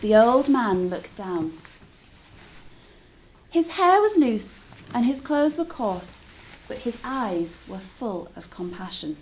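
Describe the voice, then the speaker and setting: A woman's voice: on the high side, very animated, slow, a little mellow, a little hiss or hum, poor audio. A woman teacher reading a story aloud to children in a dramatic, engaging way. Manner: dramatic, engaging listeners with questions.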